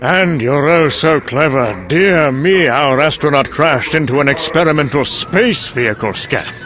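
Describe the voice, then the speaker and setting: A man's voice: fairly high pitch, unhurried, noisy background, close to an everyday voice. A man playing a cynical scientist, delivering a dry, weary, sardonic dramatic monologue for a motion comic. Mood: sardonic, mocking. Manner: dry, sardonic, weary musing with trailing asides. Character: cynical, sardonic British male scientist briefing an American colleague.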